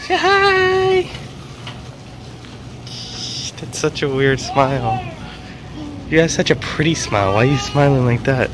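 A man's voice: mid-range voice, very animated, normal speed, background noise. A young man filming a family vlog in a supermarket, chatting casually with jokey, teasing banter. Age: young adult. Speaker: vlogger, father. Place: large supermarket, indoors. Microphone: handheld camera held by the filmer.

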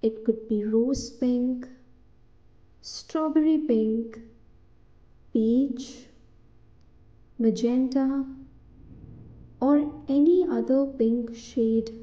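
Woman, higher-pitched voice, extremely soft mellow tone, soothing meditation narration, slightly muffled recording. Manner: soothing, deliberate, short phrases with pauses. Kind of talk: guided meditation, scripted narration.

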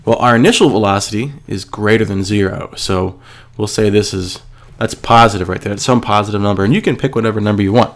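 Male teacher, stuffy with a cold, low and gravelly, patient and methodical. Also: fast talker, few pauses. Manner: patient, step-by-step, asks and answers himself. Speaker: physics teacher. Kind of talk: screencast lesson explaining a worksheet.

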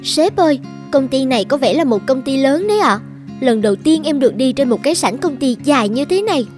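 Actress as young cartoon office trainee, high-pitched, curious, polite, music underneath. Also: female voice, lively intonation, brisk. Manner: polite, inquisitive. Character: curious, eager young female creative trainee. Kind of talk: dubbed cartoon dialogue.

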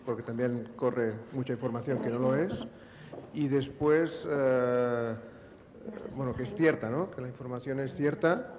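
A man's voice: mid-range voice, unhurried. A man in the audience hesitantly asking a question, with many fillers. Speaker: audience member. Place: large banquet hall. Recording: hall reverb with faint noise.

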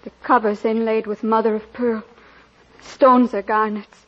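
A woman's voice: a little high, level tone, unhurried. An actress playing a meek, poor young woman, timid and plain-spoken, in 1940s radio style. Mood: meek, hurt. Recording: muffled, noisy vintage radio recording.

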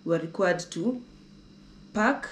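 A woman's voice: fairly low pitch, slow, slight background noise. Young woman, from Kenya, travel vlogger, casual chat, restarts a lot, roomy echo. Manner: chatty, repeats herself, restarts often. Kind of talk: casual conversational travel talk. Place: indoor living room on a sofa.

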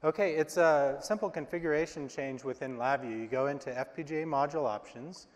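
A man presenting a product on a live stage, relaxed and speaking with frequent fillers. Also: on the high side, a little fast. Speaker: IT engineer presenting. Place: large stage, hall. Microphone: lapel mic. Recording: reverberant hall.

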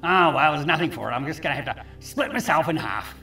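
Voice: medium pitch, lively intonation, fast, pushed and bright, reverberant, recorded from a distance, faint music behind. A performer voicing a hungry, scheming sea star in a children's puppet show, hesitant and matter-of-fact.

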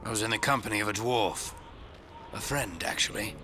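An actor playing a gruff, wry, guarded wandering ranger in a dramatic fantasy game cutscene. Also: male voice, fairly low pitch, a little fast, noisy background, natural voice. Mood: guarded, grim. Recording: music behind.

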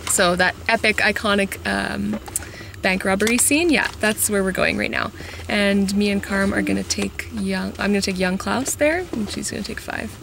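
A young woman chats through her road-trip vlog from a moving car, with lots of ums along the way. Her voice has a gravelly, creaky edge, and loud road noise runs under it. She films with a camera held at arm's length. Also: mid-range voice, normal speed. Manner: chatty, with many ums. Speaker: vlogger.

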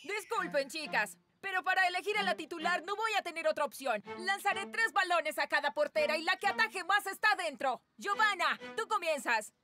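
Encouraging female coach, cartoon dub: high, brisk, animated. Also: over music. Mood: decisive. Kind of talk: cartoon dubbing.

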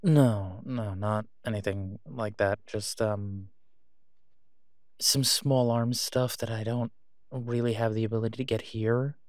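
A man playing an awkward, guarded man in a naturalistic audio roleplay, speaking hesitantly and low-key. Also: steady tone, unhurried, darkish tone, no room sound, studio quality, natural voice. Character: awkward, guarded man discreetly seeking gear to protect someone.

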